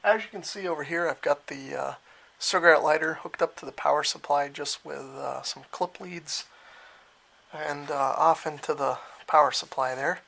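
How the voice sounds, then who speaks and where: A man's voice: fairly high pitch, normal speed, vocal fry, pushed and bright. A man giving a casual, unscripted hands-on gadget demonstration, thinking aloud as he goes in his cellar at home. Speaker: radio hobbyist demonstrating equipment. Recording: noisy home recording with clicks.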